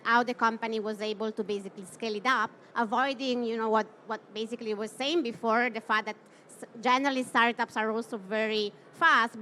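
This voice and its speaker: A woman's voice: on the high side, sharp tone, slight background noise. A woman moderating a conference panel, asking loose, rambling questions full of hedges.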